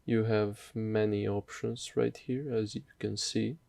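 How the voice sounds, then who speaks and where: A man narrating a casual software tutorial in a hesitant, halting, off-the-cuff way. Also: lowish voice, level tone, gravelly, dry recording.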